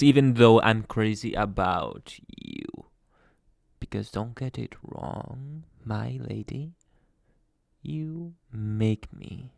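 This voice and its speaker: A man playing a jealous, possessive young boyfriend in an ASMR roleplay, speaking with dramatic pauses and dropping into whispers. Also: medium pitch, gravelly, no room sound, clean studio sound, exaggerated voice. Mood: pleading, possessive.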